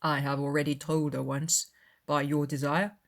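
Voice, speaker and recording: Period audiobook role: woman as aloof gentleman, formal, clipped, unconcerned, slightly low female register. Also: flat delivery, normal speed. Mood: cool, curt. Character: aloof, proud young gentleman.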